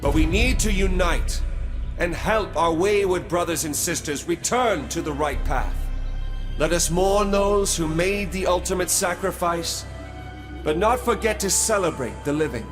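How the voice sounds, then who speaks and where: Resolute young commander, higher-pitched. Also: male voice, lively intonation, a little slow. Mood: solemn. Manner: earnest, firm. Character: resolute, principled young male military commander. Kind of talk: video game cutscene acting. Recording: music behind the voice.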